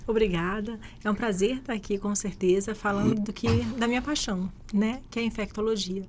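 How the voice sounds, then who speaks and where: A woman with a Carioca Brazilian accent speaks as a medical guest in an interview. Her voice sits at a middle pitch and her delivery is conversational and explanatory. Faint ambient noise sits behind her. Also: fairly even intonation, a little fast, a little mellow. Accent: Carioca Brazilian Portuguese. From Rio de Janeiro, Brazil. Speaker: guest infectious disease doctor. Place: live radio podcast interview.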